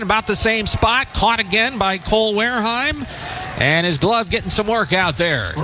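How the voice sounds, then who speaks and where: A man with an American accent and a slightly high voice calls a baseball game on the radio. He describes the play in measured, short phrases. Music and outdoor ambience are clearly heard behind him. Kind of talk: live radio sports commentary.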